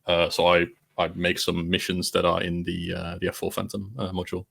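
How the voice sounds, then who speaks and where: A man chatting as a podcast guest, laid-back and self-deprecating, often trailing off quietly. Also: low-pitched, monotone, fairly quick, creaky. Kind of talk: casual chat.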